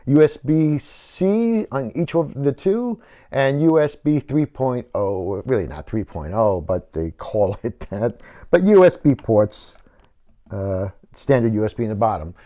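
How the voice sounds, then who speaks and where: A man's voice: medium pitch, animated, a little slow, dark tone. A middle-aged man giving an informal technical walkthrough as a hobbyist presenter, explaining things and often correcting himself as he goes. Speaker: radio hobbyist presenting a DIY build.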